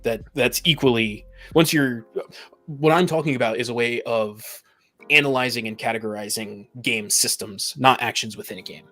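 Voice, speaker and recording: A man explaining his view in a measured, analytical way during a casual discussion. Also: mid-range voice, sharp tone, soft background music. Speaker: discussion participant.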